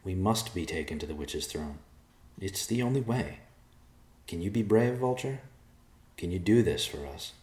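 A man voicing a gentle, brave young male adventurer in a storybook, speaking earnestly and persuasively. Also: low-pitched, lively intonation, moderate pace, long pauses, reverberant. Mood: gently urging. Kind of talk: character dialogue in storybook narration.

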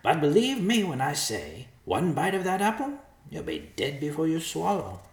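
A man voicing an excitable, fearful, pleading vulture in a storybook, with a shrill, strained voice. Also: moderate pace, echoey room. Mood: warning. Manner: exclamatory, repeats words in agitation. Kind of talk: character voice in storybook narration.

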